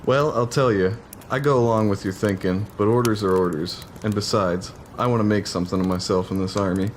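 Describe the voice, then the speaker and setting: A man's voice: lowish voice, fairly even intonation, a little fast, slight background noise, natural voice. A man playing a skeptical cavalry private in a historical drama, speaking in plain, folksy frontier language.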